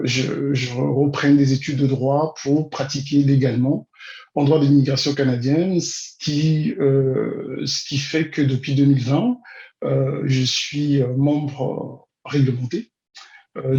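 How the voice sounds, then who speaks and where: A man, answering interview questions in a measured, hesitant way with drawn-out pauses. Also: mid-range voice, a little mellow. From Congo-Brazzaville. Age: 40s. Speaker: interview guest, immigration consultant and teacher. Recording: dull, roomy, echoing sound.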